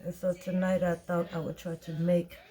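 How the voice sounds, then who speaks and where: A woman with a tired, raspy voice, talking casually through a soap-making tutorial and thinking aloud as she weighs ingredients. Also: on the low side, flat delivery, dark tone, soft background music. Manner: slow, chatty, thinking aloud while weighing. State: tired voice, clearing throat. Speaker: soap maker.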